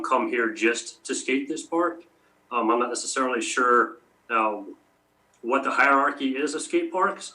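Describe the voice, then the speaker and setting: A man answering questions as city staff at a public meeting, formal and deferential but halting and tentative. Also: mid-range voice, pushed and bright, low-quality recording.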